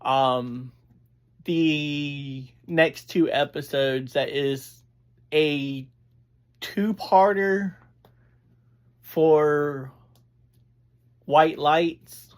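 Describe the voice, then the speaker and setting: Slow-paced, halting casual reviewer. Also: medium pitch. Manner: halting, digressive. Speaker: audio drama reviewer, host. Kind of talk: casual rambling review chat.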